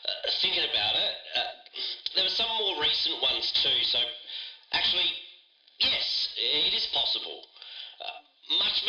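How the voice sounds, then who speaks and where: A man playing a chatty television technician who explains things in a halting, rambling way, thinking aloud as he goes. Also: mid-range voice, pushed and bright. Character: hesitant, chatty male television technician recalling broadcast hijackings. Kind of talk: interview answers, explaining. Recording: echoey, distant, radio-like sound.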